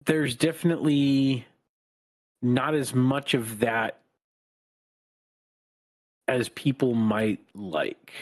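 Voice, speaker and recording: Calm guy chatting on a podcast, smooth even voice, no rasp. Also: mid-range voice, very slow, pauses often. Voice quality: not raspy. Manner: calm, even-tempered talk. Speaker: podcast co-host. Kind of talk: casual chat.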